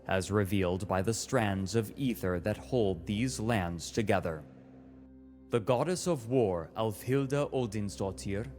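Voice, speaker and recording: An actor playing a formal, precise chronicler narrating a fantasy saga in documentary style, matter-of-fact and pedantic. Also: male voice, medium pitch, a little mellow, natural voice. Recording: music behind.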